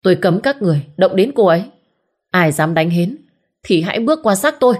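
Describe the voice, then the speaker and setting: A woman's voice: lowish voice, animated, brisk. A woman voicing a young village man who speaks earnestly and protectively to the woman he loves. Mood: defiant. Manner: earnest, pleading.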